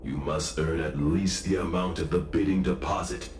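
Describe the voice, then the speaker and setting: A man playing a proud, stubborn guild president in a video game, speaking gravely, formally and deliberately. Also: low voice, steady tone, slight background noise, natural voice. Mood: stern, conceding. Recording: reverberant, under loud background music.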